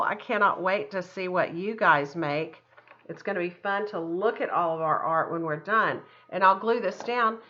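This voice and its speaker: A woman's voice: on the low side, a bit bright, muffled recording. A woman art teacher talking children through a craft step by step in a chatty, encouraging, casual way. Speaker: art teacher giving a demonstration.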